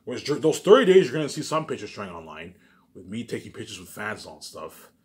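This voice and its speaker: A man talks informally to his audience in a slightly low voice. He moves at a very quick clip, circling back on the same phrases and restarting them. His delivery is emphatic, rambling and peppered with swearing. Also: big pitch swings, darkish tone. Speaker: vlogger. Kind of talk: rambling informal monologue to camera.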